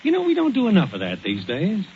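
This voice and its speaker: A man's voice: sits high, wildly swooping pitch, fast talker, exaggerated voice. An American man playing a chatty, earnest suburban neighbor in a 1940s radio sitcom. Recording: muffled 1940s radio recording, faint noise.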